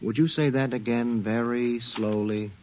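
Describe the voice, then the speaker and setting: An actor playing a skeptical, shrewd private detective boss, wry and brusque, in 1950s radio drama style. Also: male voice, mid-range voice, steady tone, measured pace, a little mellow, natural voice.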